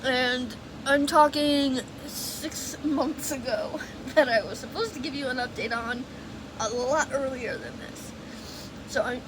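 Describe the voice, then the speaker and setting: A young woman with a high voice and a stuffy, sniffly nose talks slowly and loosely to her viewers, rambling and correcting herself as she goes. She sits in her bedroom, and the steady roar of a fan and air conditioner fills the background. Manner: chatty, rambling, self-correcting. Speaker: vlogger. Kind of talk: casual vlog chat. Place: bedroom at home with AC and fan running. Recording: loud fan and AC noise behind.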